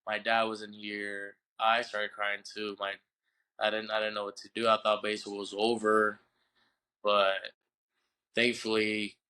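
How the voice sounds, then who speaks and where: A young man from Puerto Rico, a baseball player being interviewed on a podcast, telling his story in a halting way and often repeating words as he searches for the English. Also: on the low side, completely flat delivery, bright, forceful tone, clear, resonant tone, poor audio. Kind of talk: casual interview.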